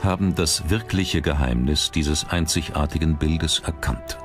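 Very deep-voiced male documentary narrator over music. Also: unhurried.

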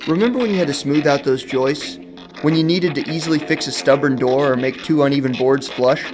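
A man's voice: fairly high pitch, a little fast. Salesy male infomercial voice over music. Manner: upbeat, salesy. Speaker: commercial announcer. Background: loud music.

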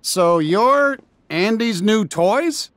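An actor playing a friendly, easygoing cowboy toy leader in a video game, folksy and reassuring. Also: male voice, high-pitched, expressive, extremely slow, a bit bright, dry recording, exaggerated voice. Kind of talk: animated video game dialogue.

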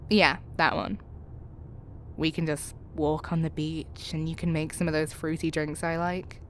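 Woman acting confident wry lady spy in an audio rp, lowish voice, some background ambience. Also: steady tone, slight background noise. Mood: tender, teasing. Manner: brisk, wry, self-assured. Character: tough, confident woman spy with a tender private side.

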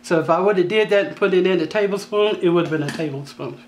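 A woman with a slightly raspy voice hosting a home cooking tutorial, talking in a chatty, down-to-earth way with joking asides. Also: fairly low pitch, fast, a little mellow. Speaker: cooking channel host. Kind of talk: casual cooking tutorial voice-over. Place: home kitchen.

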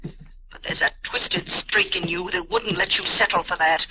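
An actress playing an earnest, pleading telepath, hurt but loving, with intense old-time radio drama delivery. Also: female voice, fairly low pitch, steady tone, normal speed, close to an everyday voice. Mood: wounded, tender. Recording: noisy, dull vintage radio recording.

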